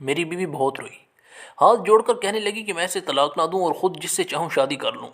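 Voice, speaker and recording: A man's voice: on the high side, a bit bright. A man narrating a scripted first-person story in a dramatic, emotional manner.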